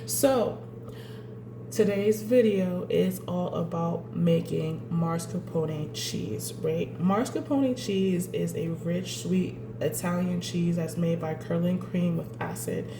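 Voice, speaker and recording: A woman's voice: fairly low pitch, takes it slowly, creaky, reverberant, background noise. Congested woman hosting a cooking lesson. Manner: chatty, keeps adding "right?". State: congested from a cold. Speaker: cooking channel host. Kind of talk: casual cooking tutorial. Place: home kitchen.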